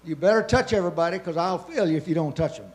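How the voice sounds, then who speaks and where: Older male country doctor, hoarse, plainspoken and folksy. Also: sits high, lively intonation, fast talker, heavy background noise. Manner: folksy, matter-of-fact. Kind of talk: self-introduction on a panel.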